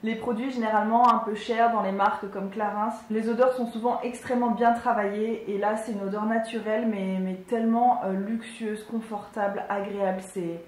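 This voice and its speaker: A woman's voice: mid-range voice, fairly even intonation, moderate pace, hardly any pauses, darkish tone, roomy sound, rough recording. A woman in her twenties chatting casually and enthusiastically as a beauty vlogger, with lots of fillers. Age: 20s. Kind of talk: casual product review.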